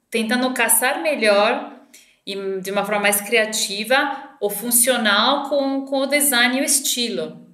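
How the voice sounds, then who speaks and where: A woman's voice: medium pitch, a bit bright. A middle-aged woman from Argentina with a light Argentine Spanish accent, answering interview questions thoughtfully with frequent hesitations and restarts. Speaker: marketing director guest. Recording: roomy echo.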